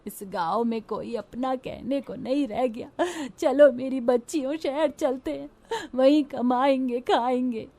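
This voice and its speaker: Woman as a slow, sorrowful widowed mother. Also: sits high, mellow. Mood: resigned. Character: poor grieving widowed mother of five girls.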